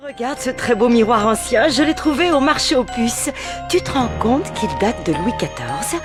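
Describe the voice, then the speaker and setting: Casting: woman as a caring, talkative cartoon mom with lively swings in her voice, over music. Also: a little high, moderate pace, close to an everyday voice. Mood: pleased chatting. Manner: chatty, motherly. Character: chatty, caring cartoon mother.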